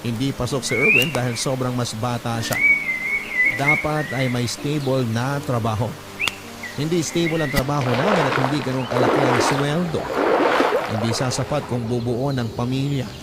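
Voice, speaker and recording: Filipino man narrating over loud music. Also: medium pitch, moderate pace. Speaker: narrator reading a listener's letter. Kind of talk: dramatic scripted first-person story narration. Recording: loud music bed under voice.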